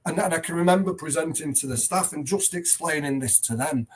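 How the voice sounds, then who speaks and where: A man's voice: fairly high pitch, brisk, breathy edge. A middle-aged headteacher, a man, speaking earnestly and emphatically as a guest in a conversational interview, often restarting his sentences. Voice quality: loud voice.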